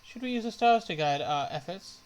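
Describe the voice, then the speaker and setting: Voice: medium pitch, lively intonation, fairly quick, a crowd in the background. A game streamer giving casual live commentary on their play and reading choices aloud.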